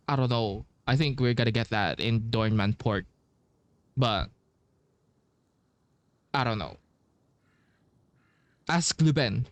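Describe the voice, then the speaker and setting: A man's voice: mid-range voice, dry recording. A young man giving casual, laid-back playthrough commentary as a gaming streamer, with muttered asides. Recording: game music in background. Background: game music.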